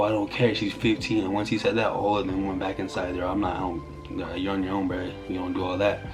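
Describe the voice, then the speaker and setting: A young man telling a story in a casual, slangy vlog style with music behind him. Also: sits low. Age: young adult. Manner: slangy, conversational. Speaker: YouTuber telling a story to camera.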